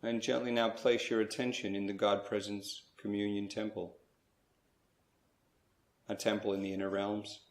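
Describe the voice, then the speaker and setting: A man leading a slow guided spiritual meditation, speaking in measured short phrases with pauses between them. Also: fairly low pitch, flat delivery, reverberant.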